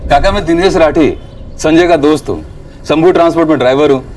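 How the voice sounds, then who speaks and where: A man's voice: on the high side, quick pace, a little hiss or hum, close to an everyday voice. An actor playing a sly, insistent gang henchman and schemer in a TV crime drama. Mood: ingratiating. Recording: distant mic under loud music.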